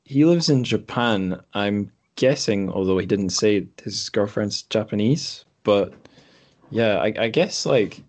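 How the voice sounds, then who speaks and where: A man's voice: fairly low pitch, animated, takes it slowly, darkish tone. A British man hosting a podcast, chatting casually in a tentative, musing way. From Britain. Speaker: podcast host. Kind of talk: casual group chat.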